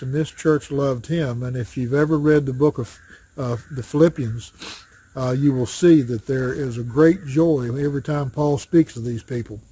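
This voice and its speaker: A man's voice: a little high, a little mellow, breathy edge. Folksy older man teaching outdoors. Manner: plain, folksy teaching with frequent "uh" pauses. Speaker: associate pastor teaching Sunday school. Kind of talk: Bible study lesson. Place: outdoors in a wooded backyard. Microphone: webcam or phone framed at chest height. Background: crows cawing.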